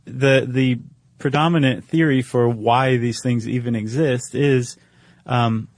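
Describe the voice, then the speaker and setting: A man's voice: medium pitch, steady tone, slow, no echo. An American man talking casually as a podcast co-host. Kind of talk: casual conversational podcast talk.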